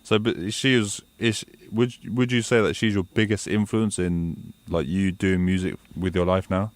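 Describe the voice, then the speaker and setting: A man's voice: deep voice, steady tone, normal speed, dry recording. A man hosting a radio show, interviewing a guest in a chatty, enthusiastic way and calling him "man" again and again.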